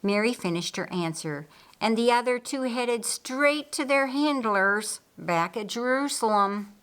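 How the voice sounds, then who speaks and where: A woman narrating a scripted story aloud in an expressive, deliberate way, as for an audiobook. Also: on the high side.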